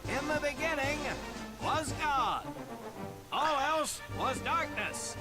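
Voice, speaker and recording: An actor voicing a solemn male narrator in a game cutscene, intoning a creation story slowly and gravely. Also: very high voice, over loud music.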